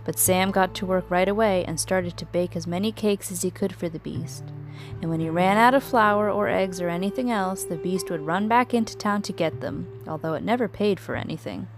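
A woman narrating a scripted children's story in an expressive, dramatic storytelling manner. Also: lowish voice, moderate pace, soft background music.

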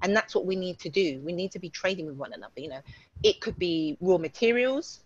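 A woman's voice: lowish voice, expressive, a little fast, slight background noise. Chatty British-born woman on a dull call. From United Kingdom. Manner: chatty, warm storytelling with many fillers. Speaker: guest. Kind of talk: casual online interview.

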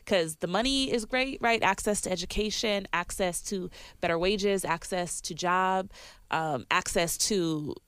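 A woman's voice: lowish voice, unhurried, clean tone, no room sound. A woman guest on a podcast, talking about her work and mission in a casual way, slightly congested and sniffly, with frequent "like" and "um" fillers. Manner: warm, reflective, many "like" and "um" fillers.

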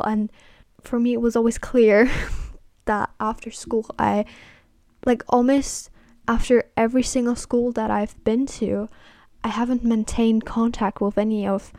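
A woman's voice: fairly high pitch, a little mellow, no room sound, studio quality. An eighteen-year-old woman hosting a casual solo podcast on camera. She rambles hesitantly with many "like" fillers and apologizes self-consciously. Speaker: podcast host.

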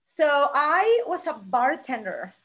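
Energetic woman from Argentina, forties. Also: high-pitched, takes it slowly. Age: 40s. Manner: energetic, animated storyteller. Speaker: guest. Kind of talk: conversational interview storytelling.

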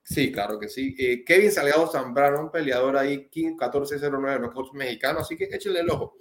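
A man's voice: mid-range voice, fairly quick. Chatty man in an echoey room. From Panama. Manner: chatty, rambling, frequent restarts. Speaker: boxing podcast host. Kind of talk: casual sports chat.

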